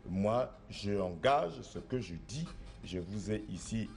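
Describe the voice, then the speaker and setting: A man's voice: on the low side, lively intonation, takes it slowly, creaky, darkish tone. A middle-aged man from Congo, a traditional spiritual master, answering interview questions in an assertive, insistent way that repeats points. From Republic of the Congo.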